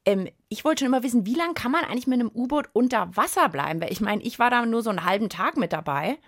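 A woman's voice: fairly high pitch, lively intonation, fast, dry recording, clean studio sound. A woman co-hosting a children's science podcast in a casual, curious style.

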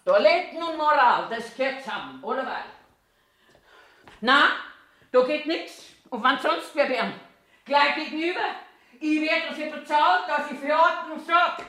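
A woman's voice: high-pitched, lively intonation, a little slow, pushed and bright, exaggerated voice. An actress in her eighties playing a cranky, eccentric old toilet attendant in a theatrical monologue, querulously talking to herself in a Bavarian accent. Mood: indignant, defiant. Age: 80s. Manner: self-talking, querulous, emphatic. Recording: echoey room sound.

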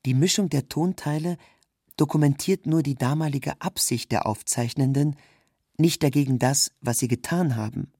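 A man's voice: a little high, normal speed, warm tone, breathy edge, no echo, clean studio sound. A man reads scripted literary narration in with a sober, documentary delivery. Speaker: narrator, reader of a literary text.

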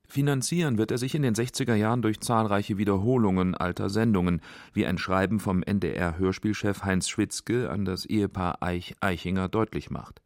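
A man's voice: lowish voice, vocal fry, dry recording, studio quality. A man reading scripted narration for a documentary.

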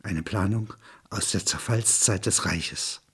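A man's voice: fairly low pitch, takes it slowly, fairly bright tone, slightly rough. A man narrating a scripted documentary radio piece in a sober, clipped, report-like way.

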